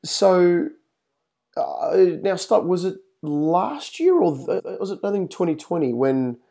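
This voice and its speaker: A man's voice: sits high, animated, slow, mellow. A man hosting an interview, asking long rambling questions with many fillers. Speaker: host. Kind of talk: interview host.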